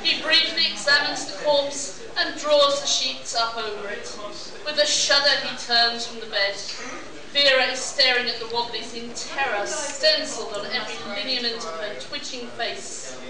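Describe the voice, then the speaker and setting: A woman's voice: sits high, normal speed, crowd noise. A woman reading scripted pulp science fiction narration for a live radio play. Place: convention hall before an audience. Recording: echoey hall, far off, audience noise.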